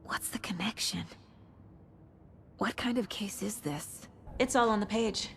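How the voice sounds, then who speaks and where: A woman's voice: mid-range voice, measured pace, vocal fry, slight background noise. A woman playing a determined, analytical FBI agent in a video game, speaking in a businesslike way. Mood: questioning. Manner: businesslike, thinking aloud. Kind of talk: cinematic video game acting.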